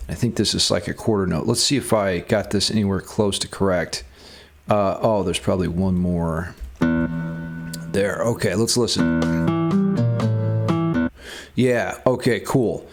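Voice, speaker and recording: A man's voice: low-pitched, unhurried, creaky, pauses often. A man hosting a music theory lesson, explaining in a playfully theatrical, tongue-in-cheek way. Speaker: music theory teacher and video host. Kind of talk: guitar music theory lesson with scripted dramatic intro then casual explanation.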